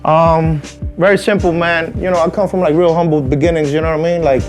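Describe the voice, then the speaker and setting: An easygoing man in his forties, from the Dominican Republic, chats as a guest on an interview show. His voice is slightly high, and he keeps filling pauses with casual asides. A faint beat runs underneath. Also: steady tone, soft background music. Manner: easygoing, many "you know what I mean" fillers.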